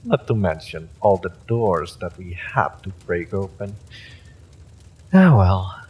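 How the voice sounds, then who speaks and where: A man's voice: sits low, sing-song, takes it slowly, darkish tone, slightly rough, full character voice. A man playing an evil sorcerer in an audio roleplay, smug and silkily menacing, with a mock-polite, gloating delivery. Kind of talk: dramatic villain monologue, audio roleplay.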